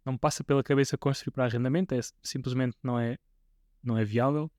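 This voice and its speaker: A man's voice: mid-range voice, steady tone, fast, a little mellow, no echo, clean studio sound. A man in his twenties with a European Portuguese accent, hosting a podcast interview in a casual, hesitant way with frequent restarts. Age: 20s. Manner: hesitant, many restarts and fillers. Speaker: podcast host.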